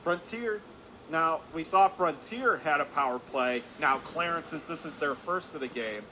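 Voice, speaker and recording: A man's voice: fairly high pitch, unhurried. A man calling live radio hockey play by play in clipped running phrases, his voice rising with the action. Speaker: play-by-play sports announcer. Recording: constant loud arena background noise. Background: hockey arena ambience.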